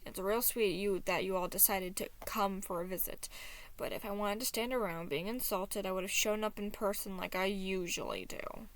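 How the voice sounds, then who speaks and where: A woman's voice: medium pitch, fairly even intonation, normal speed, natural voice. A woman voicing a gloomy, self-doubting male figure of anxiety, cool, guarded and sardonic.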